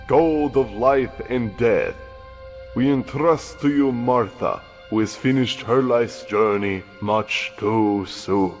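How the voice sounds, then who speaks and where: A male actor voices a solemn game priest at a funeral rite. He speaks slowly and reverently, his voice ringing in a long hall over faint music. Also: mid-range voice. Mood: mournful reverence. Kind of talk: video game mod voice acting.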